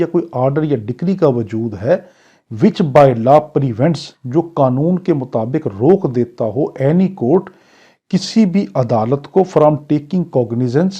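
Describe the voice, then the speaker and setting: A man's voice: fairly high pitch, warm tone. Pakistani man lecturing, dull lo-fi recording. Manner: didactic, repetitive, poses questions and answers them. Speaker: law lecturer. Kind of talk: exam lecture, reading English clauses and explaining them. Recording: dull lo-fi sound.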